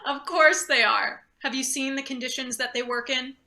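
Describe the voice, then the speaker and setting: Actress doing irritated lady boss, bossy and ruthless, high voice, super sharp n tense, play reading. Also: moderate pace. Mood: scheming, impatient. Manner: curt, pressing, stammers deferentially to superiors. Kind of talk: live theatre table read.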